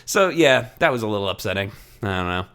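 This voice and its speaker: A man's voice: on the low side, lively intonation, fast, fairly bright tone, clear, resonant tone. A man hosting a podcast in casual conversation, speaking informally with some swearing. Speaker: podcast host. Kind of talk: casual chat.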